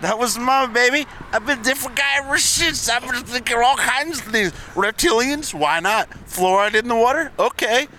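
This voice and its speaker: A man's voice: extremely high pitch, brisk, few pauses, pushed and bright, slight background noise. A man co-hosting a podcast, teasing and provocative in joking banter, his voice worn from talking. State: losing his voice. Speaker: podcast co-host.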